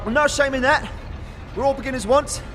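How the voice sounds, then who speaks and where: Man as a high-voiced friendly teenage apprentice smith. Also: brisk, fairly bright tone, soft background music. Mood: friendly, chatty. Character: friendly teenage boy smith, easygoing, encouraging, street slang. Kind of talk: audio drama character acting.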